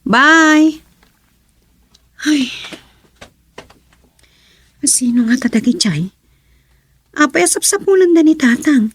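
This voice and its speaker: A woman's voice: sits high, animated, slow pace, pauses often. An actress playing an inquisitive village woman in an Ilocano radio comedy drama, with an Ilocano accent.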